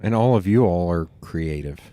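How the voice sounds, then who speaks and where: Man, East Tennessee accent, very deep voice, chatty podcast host, dry sound. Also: normal speed, no echo. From Knoxville, Tennessee. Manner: chatty and informal, slips into animated retellings. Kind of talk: casual interview conversation.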